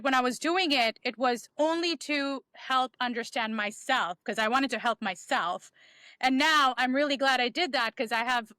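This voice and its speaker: A woman's voice: fairly high pitch, bright, forceful tone, dry recording. A woman, a podcast guest candidly telling her life story in a reflective way with many ums. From Bangladesh. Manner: reflective, frequent um fillers.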